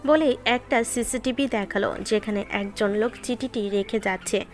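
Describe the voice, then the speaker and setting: Higher-pitched woman narrating a story. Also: moderate pace. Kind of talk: scripted romance story narration. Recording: music bed behind the voice.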